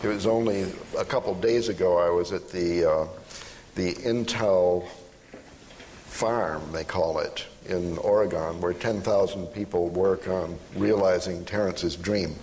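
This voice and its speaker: A man speaking on a panel in an informal public discussion, with a halting, reflective and wry manner. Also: low voice, steady tone, a little mellow. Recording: noisy, long hall reverb, live event.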